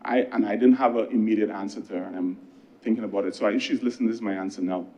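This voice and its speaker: A man with a Barbadian accent delivering a parliamentary speech as a government minister, conversational and earnest, with emphatic pauses. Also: fairly low pitch, steady tone, darkish tone, muffled recording. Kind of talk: political speech in parliament with anecdotes.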